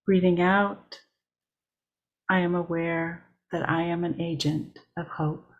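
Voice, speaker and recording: A woman leads a guided meditation at a very slow pace. Her voice sits a little low and stays level, and she speaks in short, measured, deliberate phrases with a calm delivery. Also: dull audio. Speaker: worship leader.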